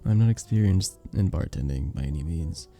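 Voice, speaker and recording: A very dark, mellow voice with a gravelly creak and lively rises and dips. It is a charming, sweet vampire bartender, acted out by a man, soft-spoken and courteous in the formal way of an audio roleplay. He sounds modest and gentle throughout. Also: sits low, a little fast, soft background music. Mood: flattered, modest. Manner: gentle, polite, slightly formal, bashful chuckles.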